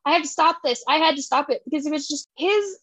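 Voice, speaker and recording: A young woman co-hosting a casual book podcast, animated and often laughing as she acts out characters' lines. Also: high voice, fast, dry recording. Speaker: podcast co-host. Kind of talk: casual chat about books.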